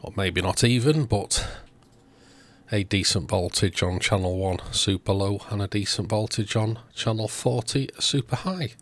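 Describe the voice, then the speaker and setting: A man hosting a repair video, talking through the work step by step in short, unhurried phrases. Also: lowish voice, dry recording. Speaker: host of electronics repair videos. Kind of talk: narrated repair walkthrough.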